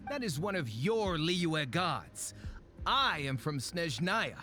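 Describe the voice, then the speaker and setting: A man's voice: on the high side, sing-song, bright, forceful tone, exaggerated voice. A man voicing a greedy, arrogant game character who argues indignantly. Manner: blustering, indignant, quick to argue. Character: greedy, arrogant foreign expedition sponsor. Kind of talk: video game voice acting.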